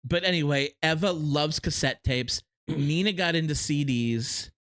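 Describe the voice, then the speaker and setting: A man's voice: on the high side, a little slow, a bit bright. A middle-aged man with a cartoon-character voice chatting casually as a podcast co-host, enthusiastic and playful. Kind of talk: casual chat.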